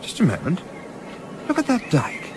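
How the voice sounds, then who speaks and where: An actor playing a polite, well-spoken young English gentleman who narrates his adventure in a radio play, with an English accent. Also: high-pitched, huge pitch swings, moderate pace, background noise. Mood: brisk, puzzled. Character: Carruthers, urbane young English gentleman, wry, diarist narrator. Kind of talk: radio play acting and first-person narration.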